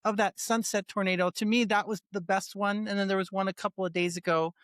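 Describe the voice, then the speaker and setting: A woman hosts a casual podcast interview, eager and quick to react to her guest. Her questions come out loose and conversational. The sound is dry and close, with no room around it. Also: medium pitch, steady tone, dry recording. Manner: enthusiastic, reacts with short interjections.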